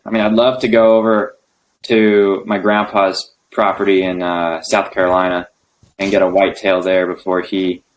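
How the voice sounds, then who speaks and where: Young man, very low voice, laid-back, lots of ums, interview guest. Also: moderate pace, rough recording. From United States. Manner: relaxed, hesitant with many ums. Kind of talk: casual interview conversation.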